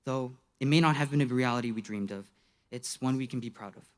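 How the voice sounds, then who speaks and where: A teenage male student delivers a graduation address at an ordinary middle pitch. He is playful and tongue-in-cheek, mixing earnest, emphatic phrasing with youth slang and deadpan asides. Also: fast talker. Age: late teens. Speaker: graduating student giving a class speech.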